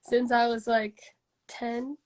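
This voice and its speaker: High-voiced congested young woman. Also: little pitch movement, takes it slowly, darkish tone. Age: 20s. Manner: chatty, lots of "like" and "um" fillers. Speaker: singer-songwriter guest. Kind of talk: casual interview chat.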